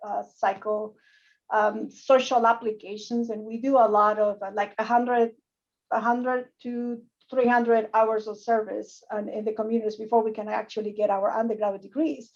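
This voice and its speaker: Woman, higher voice, earnest, muffled video call. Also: flat delivery, normal speed, a little mellow. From Costa Rica. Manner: earnest, frequent restarts and repeated words. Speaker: panelist, academic. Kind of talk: panel discussion.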